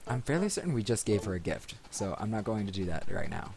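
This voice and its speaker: Male let's play host, lowish voice, casual rambling delivery, clear music bed from the game. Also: sing-song, fast talker. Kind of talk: casual video game commentary. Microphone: desk microphone.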